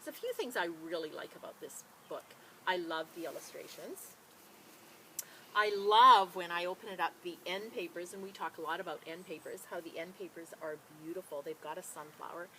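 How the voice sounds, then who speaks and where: Teacher lady doing storytime for kids, really expressive and bright, bit of noise behind her. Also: fairly low pitch, a little fast, poor audio. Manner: expressive, enthusiastic, addressing young children. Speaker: teacher librarian reading a picture book to children. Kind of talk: children's story read-aloud with casual introduction.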